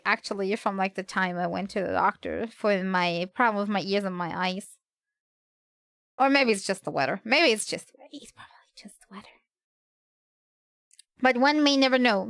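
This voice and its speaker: A woman's voice: mid-range voice, fairly bright tone, clean tone, no echo, studio quality. A woman livestreamer with a slight cold, chatting casually in a hesitant, rambling way.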